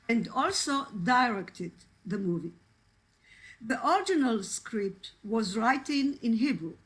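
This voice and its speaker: Woman, native Iraqi Judeo-Arabic speaker, halting and emotional, reading aloud, slow pace, video call. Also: medium pitch, rough recording. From Israel. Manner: halting, searching for English words, emotional. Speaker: actress and translator, guest speaker.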